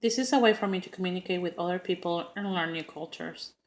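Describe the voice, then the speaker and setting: A woman's voice: fairly low pitch, fast talker. A middle-aged woman with a Spanish accent giving a prepared class speech, earnest and with frequent ums and uhs.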